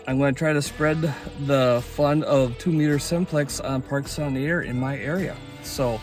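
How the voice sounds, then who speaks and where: A man talking casually and enthusiastically in an informal vlog as an amateur radio operator. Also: medium pitch.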